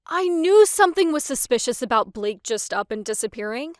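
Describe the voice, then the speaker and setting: Woman acting a nerdy teenage boy, eager, inquisitive, excitable, comic dub, high pitch, dry recording. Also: brisk, no echo. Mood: amused.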